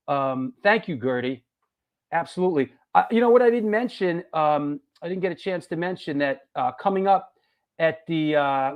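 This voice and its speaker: A man hosting a live show, chatting loosely and reading out upcoming gigs and listener names. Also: a little high. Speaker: podcast host. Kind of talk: casual live-stream chat.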